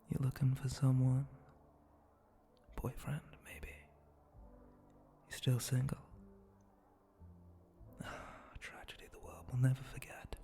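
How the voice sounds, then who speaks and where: A man voicing a flirty, teasing spider-monster bartender in an intimate ASMR roleplay, breathy and often close to a whisper, with a languid, suggestive way of talking. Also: medium pitch, mellow, a little hiss or hum. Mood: flirty, inviting. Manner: slow, languid, suggestive drawl with pauses and hums.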